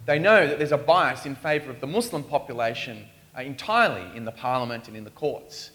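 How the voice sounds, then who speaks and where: A man's voice: medium pitch, animated, a little fast, sharp tone. A man preaching a sermon in a church in Australia, earnest and pleading as he poses questions.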